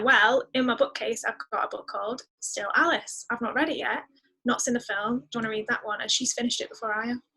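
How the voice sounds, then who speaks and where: A woman chatting casually as a guest in an interview, answering in an enthusiastic, expressive way. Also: on the high side, rough recording. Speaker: podcast guest; musician.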